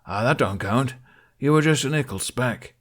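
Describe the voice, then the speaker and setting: A man's voice: medium pitch, expressive, moderate pace, a bit bright, voice pushed up. A man voicing a big, boisterous male warrior with a whining, blustery manner. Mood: whiny.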